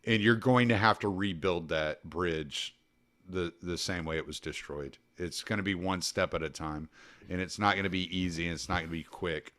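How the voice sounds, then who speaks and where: A man's voice: low voice, normal speed, fairly bright tone. A man hosting a podcast, talking casually and bluntly over a remote call, with a self-deprecating manner and some swearing. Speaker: podcast host.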